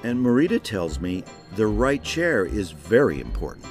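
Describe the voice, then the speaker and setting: Low-voiced male narrator over music. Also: very animated, moderate pace. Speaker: narrator of a regional documentary show. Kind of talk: scripted narration.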